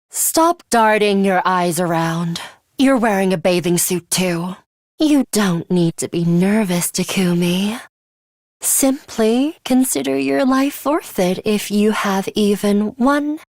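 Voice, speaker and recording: A woman voicing a ruthless, blunt high school girl fighter in a video game, composed, deadpan and commanding. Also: no echo, clean studio sound, lightly acted. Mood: dry, threatening. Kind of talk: scripted English game voice acting.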